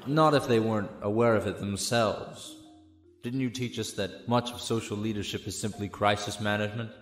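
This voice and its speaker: A man's voice: fairly low pitch, moderate pace, lightly acted. An actor playing a studious young male student, reasoning in an academic, explanatory way in an audio drama. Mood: thoughtful. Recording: echoey hall reverb with music.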